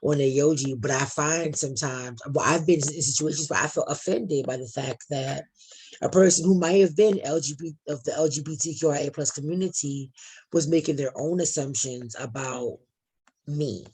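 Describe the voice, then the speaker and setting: A woman with a deep voice, a participant sharing a personal experience in a group discussion, talking in a thoughtful, searching way with many restarts. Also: normal speed, a little mellow, breathy edge, low-quality recording. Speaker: workshop participant.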